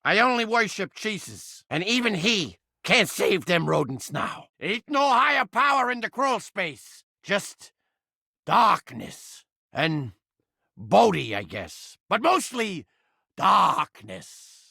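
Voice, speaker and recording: A man's voice: high voice, sing-song, takes it slowly, vocal fry, bright, forceful tone, husky, no echo, clean studio sound, exaggerated voice. An actor playing a cranky, manic living mousetrap in a video game, with blustering, streetwise wise-guy talk. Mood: bitter, gloomy. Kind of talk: animated video game character acting.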